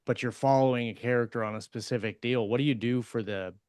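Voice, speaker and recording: A man's voice: medium pitch, fairly even intonation, fast, darkish tone, smooth, no room sound, studio quality. A man co-hosting a writers' podcast, chatting casually and tentatively as he thinks aloud about his craft. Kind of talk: casual discussion of story craft.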